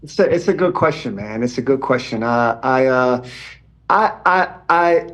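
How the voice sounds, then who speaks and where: A man's voice: mid-range voice, lively intonation, normal speed, fairly bright tone. Laid-back man in his forties, on a call. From Israeli and Iranian background. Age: 40s. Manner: laid-back, fills speech with "man" and "you know". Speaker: podcast guest. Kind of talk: casual conversation. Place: home in Germany, remote call.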